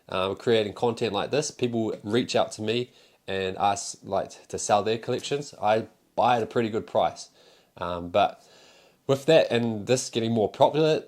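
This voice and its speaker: A man's voice: fairly low pitch, darkish tone. A young man with a New Zealand accent, talking casually to camera about selling cards, rambling and candid with fillers and the odd swear. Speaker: card seller and content creator.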